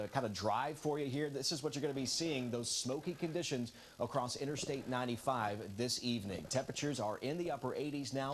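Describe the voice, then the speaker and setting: A man's voice: mid-range voice, a little hiss or hum. Man giving a live weather update. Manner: conversational, explaining maps. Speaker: meteorologist.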